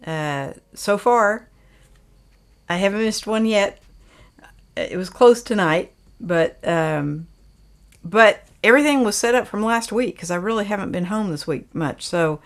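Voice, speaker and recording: An older woman hosts a casual livestream, reading viewer comments aloud and chatting back in a folksy, meandering way. Her voice sits fairly low, and she takes her time with each thought. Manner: folksy, rambling, with frequent fillers. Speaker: livestream host.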